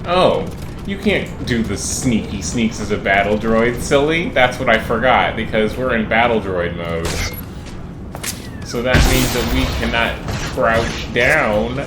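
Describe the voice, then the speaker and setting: A man's voice: mid-range voice, expressive, a little slow, noisy background. Roomy-sounding man giving playful game commentary. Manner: playful, chatty, uses silly made-up words. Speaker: gaming video creator. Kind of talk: casual gameplay commentary. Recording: roomy voice under loud music.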